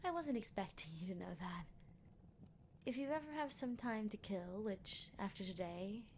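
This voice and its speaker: A woman's voice: medium pitch, animated, normal speed, darkish tone, slight background noise, natural voice. Whispery, teasing vampire captor played by a woman. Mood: teasing, lecturing. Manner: whispery, leisurely, playfully taunting. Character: teasing, menacing vampire captor, formerly a doctor. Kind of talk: ASMR roleplay, close and intimate.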